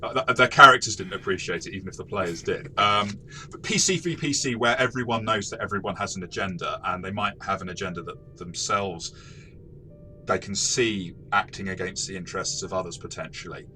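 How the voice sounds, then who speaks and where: A man's voice: deep voice, brisk, bright, forceful tone. A man talking through a tabletop game review as a game master, in a casual, reflective, explanatory way. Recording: faint background noise.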